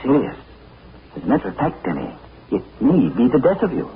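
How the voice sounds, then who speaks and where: An actor playing a cool, witty adventurer captain who talks in a breezy, unflappable way with dry wit. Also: male voice, medium pitch, huge pitch swings, a little fast. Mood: urgent, hushed. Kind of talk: 1940s radio acting. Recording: tinny, hissy 1940s radio broadcast.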